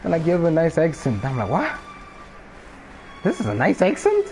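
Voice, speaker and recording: A man's voice: on the high side, huge pitch swings, moderate pace, darkish tone, soft background music. A man from Botswana with a Botswana English accent, chatting casually and laughing often while live-streaming a game. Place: home room during a rainstorm. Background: faint rain and ambient noise.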